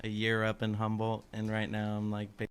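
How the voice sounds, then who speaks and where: This is a young man from the Bay Area with a smooth, clean speaking voice. He is a band's bass player and tells a story in a relaxed, joking way. He is a guest in a studio interview on a community radio station. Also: normal speed. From San Francisco Bay Area. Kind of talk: casual interview chat, storytelling. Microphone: studio mic.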